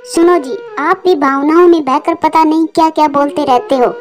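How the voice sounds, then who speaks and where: A woman's voice: sits high, moderate pace, faint music behind, close to an everyday voice. A woman voicing a resentful wife bird in a cartoon, scolding and complaining. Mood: annoyed, scolding. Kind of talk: Hindi moral-story cartoon dialogue.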